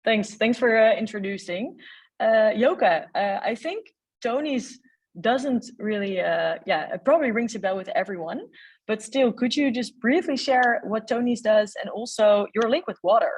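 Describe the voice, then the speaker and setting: Breathy woman moderator, distant from the mic. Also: on the high side, normal speed. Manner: hesitant, uses fillers. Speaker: webinar moderator. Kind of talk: moderating a webinar panel.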